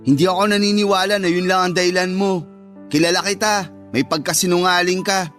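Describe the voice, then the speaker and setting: A man's voice: high voice, steady tone, fairly quick, fairly bright tone, faint music behind. A man playing a blunt, bickering younger brother in a Tagalog radio drama, with an accusing, needling way of talking.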